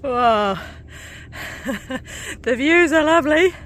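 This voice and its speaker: A woman's voice: high voice, expressive, drawn-out words, fairly bright tone, a little hiss or hum. Chatty New Zealand woman hiking outdoors. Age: 40s. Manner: chatty, laughs often, breathy from climbing stairs. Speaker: hiking vlogger. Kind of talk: casual vlog narration while hiking. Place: outdoors on a coastal trail.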